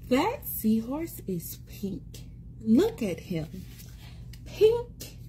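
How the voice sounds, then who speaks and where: High, very slow-paced woman reading to children. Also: slight background noise. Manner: expressive, sing-song, encouraging, repeats words for children. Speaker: children's read-aloud host and teacher. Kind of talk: animated picture book read-aloud for young children. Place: small indoor room at home. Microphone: phone or tablet at arm's length.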